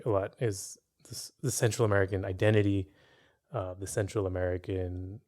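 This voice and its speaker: A man from San Francisco hosting an interview, posing a long, thoughtful question full of fillers and rephrasings. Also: on the low side. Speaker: interviewer, podcast host.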